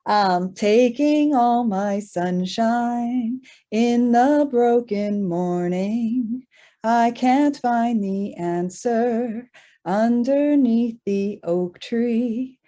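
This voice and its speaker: A woman in a workshop over a video call, speaking softly and thoughtfully as she reads her lines. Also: on the high side, drawn-out words. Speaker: workshop participant.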